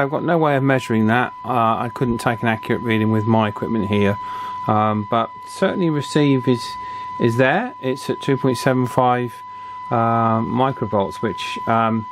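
A man with a mid-pitched voice talks informally through a hands-on job at an indoor workbench. He is hesitant, working out his thoughts aloud, with some noise in the background. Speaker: radio repair hobbyist presenting. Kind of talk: informal hands-on bench demonstration.

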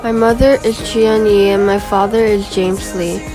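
A fifteen-year-old girl from Guam reading her autobiography aloud as a school presentation, in an earnest, steady voice. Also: medium pitch, moderate pace, poor audio. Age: teenager, 15. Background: background music.

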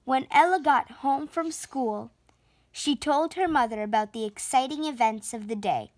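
A preteen girl reading a children's picture book aloud with expressive, deliberate storytelling. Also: high voice, dry recording. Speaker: storybook reader and channel host. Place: indoor room at home, on a sofa.

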